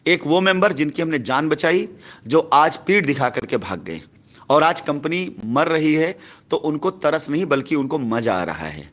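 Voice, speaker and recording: Insistent higher-pitched man. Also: big pitch swings, a little slow, a bit bright. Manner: insistent, self-justifying, repeats phrases. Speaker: company head answering a customer. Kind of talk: phone conversation, persuasive explaining.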